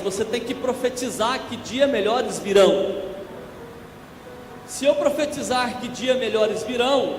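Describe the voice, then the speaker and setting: Hoarse high-voiced preacher guy, slow and emphatic, big echoey church with long reverb, music behind. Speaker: evangelist preacher. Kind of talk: church sermon.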